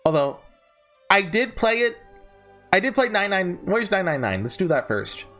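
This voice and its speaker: Male video host, lightly high-pitched and very lively in intonation, for off-the-cuff ranking commentary with a rambling, self-correcting delivery. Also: moderate pace, faint music behind. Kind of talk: casual unscripted tier-list commentary.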